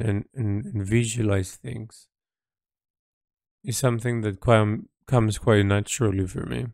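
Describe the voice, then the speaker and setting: Slow, low-voiced man thinking aloud. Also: a little mellow, clean tone, dry recording, clean studio sound. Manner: halting, thoughtful, pausing mid-phrase. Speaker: artist keeping an audio log. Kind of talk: reflective audio diary, unscripted.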